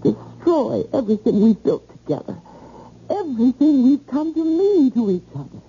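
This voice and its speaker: An actress playing a wounded, determined middle-aged wife, pleading emphatically and articulately in an old-time radio drama. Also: female voice, high-pitched, very animated, normal speed, dark tone, slightly rough, heavily acted. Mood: disbelieving, pleading. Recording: muffled, noisy old radio recording.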